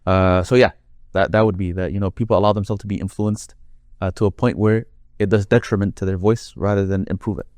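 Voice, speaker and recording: Deep-voiced man, dry close sound. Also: fairly even intonation, brisk, a little mellow, dry recording. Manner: explains with long worked examples. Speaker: Quran reciter and guest. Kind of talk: conversational interview.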